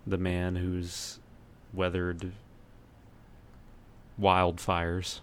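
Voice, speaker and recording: Male podcast host, low-pitched, casual and halting delivery, some background noise. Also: monotone, fairly bright tone.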